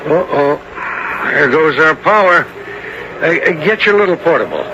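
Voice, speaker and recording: A man's voice: on the high side, expressive, normal speed, lo-fi sound, natural voice. An actor playing a plainspoken, protective father in a radio drama, a man with a fatherly manner. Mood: resigned concern. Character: gently dismissive father turning decisive leader in danger. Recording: noisy 1970s radio drama recording.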